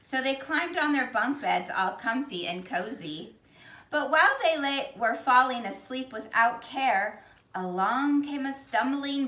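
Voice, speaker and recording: A woman reading a children's picture book aloud in an expressive, deliberate way, with dramatic emphasis. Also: a little high, far from the mic. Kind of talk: animated children's storybook read-aloud. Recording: echoey, roomy sound.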